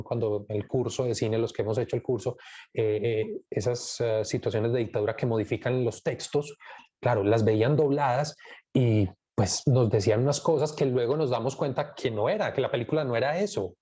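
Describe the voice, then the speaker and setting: A man hosting a film club, talking casually and reflectively, thinking aloud with many pauses and fillers. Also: medium pitch, mellow. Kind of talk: casual live film commentary.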